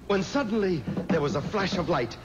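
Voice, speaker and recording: An actor playing a stubborn, pious man in a 1970s radio horror drama, speaking in a dramatic, deliberate way. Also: high-pitched, expressive, very noisy. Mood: urgent. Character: stubborn, pious man recounting a graveyard haunting. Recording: muffled old radio recording with music.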